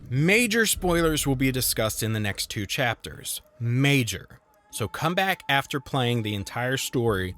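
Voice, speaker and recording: A man's voice: medium pitch, a bit bright. A man reading a scripted game review voice-over with dry, self-deprecating humor and sarcastic asides.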